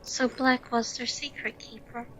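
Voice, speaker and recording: A woman's voice: fairly high pitch, flat delivery, measured pace, pushed and bright. A woman playing a curious, gossipy tavern landlady in an audio play, speaking with questioning astonishment. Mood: shocked. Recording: dull, slightly noisy home recording.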